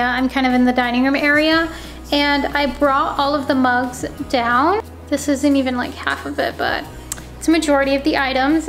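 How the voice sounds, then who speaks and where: A young woman talks through her day in an easy, chatty vlog, her high voice a touch hoarse from losing it. She fills her sentences with "like" and sounds upbeat. The room rings a bit and music runs behind her. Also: reverberant. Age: young adult. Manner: chatty, many "like" fillers, upbeat. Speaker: ceramics small business owner vlogging. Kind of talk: casual vlog narration. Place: home studio office and dining room, indoors. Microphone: camera mounted on window, handheld at times.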